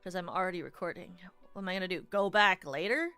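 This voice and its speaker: Chatty young woman streamer, bright voice. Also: on the low side, fast talker, smooth, soft background music. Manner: chatty and rambling, playful teasing. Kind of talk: casual livestream chat.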